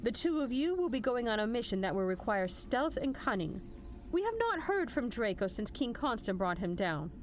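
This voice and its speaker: A woman's voice: on the high side, big pitch swings, normal speed. A woman playing a stern military general in an audio drama, formal and authoritative with a dry, wry edge. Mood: commanding, measured. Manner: formal, authoritative briefing delivery. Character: stern, commanding female military general, measured and dryly wry. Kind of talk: scripted audio drama acting.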